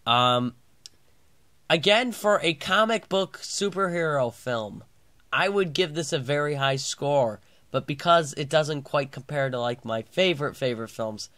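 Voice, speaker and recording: A man's voice: medium pitch, a bit bright, no room sound. Stuffy-nosed man reviewing movies. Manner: rambling, lots of uh and restarts. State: congested and sore-throated. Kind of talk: casual movie review vlog.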